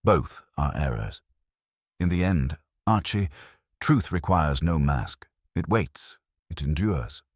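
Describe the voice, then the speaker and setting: A man plays an imperious genius detective in a mystery audiobook, extremely deep and husky. He hands down blunt, formal verdicts with pompous certainty and is never moved. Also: lively intonation, slow pace, no room sound, clean studio sound, full character voice. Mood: solemn. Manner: pompous, formal, pronouncing in maxims. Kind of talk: mystery audiobook character reading.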